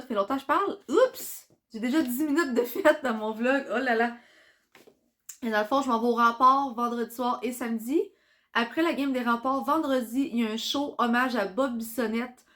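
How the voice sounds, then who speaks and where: A young woman with a Quebec French accent, chatting excitedly in a casual vlog while congested from a cold. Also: fairly high pitch, moderate pace. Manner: chatty and excitable, many fillers. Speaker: vlogger.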